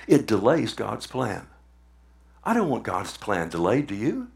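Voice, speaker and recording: A man preaching a sermon, animated, often asking the congregation "Amen?" and retelling scripture in his own words. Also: on the low side, a little slow, vocal fry. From Texas.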